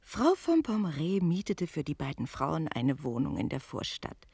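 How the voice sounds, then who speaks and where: Spirited storytelling innkeeper woman, creaky dry voice. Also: deep voice, moderate pace, no echo, full character voice. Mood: confiding, narrating. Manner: expressive, confiding raconteur. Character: worldly innkeeper's wife, spirited, teasing storyteller. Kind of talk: German radio play acting, storytelling.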